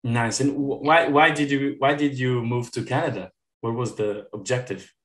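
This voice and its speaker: Podcast host in a roomy headset call. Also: male voice, medium pitch, steady tone, normal speed. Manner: upbeat, repeats words when asking. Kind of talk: interview hosting. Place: indoor room. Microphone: headset on a video call.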